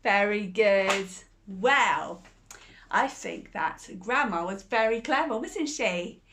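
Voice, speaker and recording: A woman reading a bedtime fairy tale aloud and chatting gently with young listeners between lines. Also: medium pitch, animated, slow pace. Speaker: storyteller.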